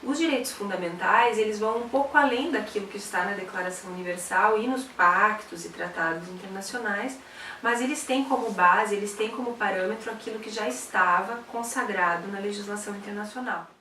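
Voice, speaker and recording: A woman's voice: medium pitch, sharp tone. A woman lays out legal points in a careful, academic way during an interview. She is recorded at some distance from the microphone in an echoey room. Faint background noise sits under her voice. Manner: measured, academic explanation. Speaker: expert interviewee. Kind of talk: explanatory interview. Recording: roomy, slightly distant mic.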